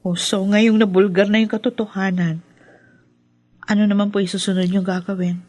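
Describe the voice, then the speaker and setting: A woman's voice: mid-range voice, flat delivery, normal speed, a little mellow, no room sound, lightly acted. A woman playing a questioning, indignant young adult daughter with an incredulous manner in a radio drama. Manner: probing, incredulous.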